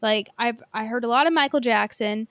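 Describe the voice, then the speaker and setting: A woman's voice: a little high, a little fast, no room sound, clean studio sound. A woman co-hosting a podcast in casual movie chat, speaking informally with swearing and plenty of filler "like". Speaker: podcast co-host.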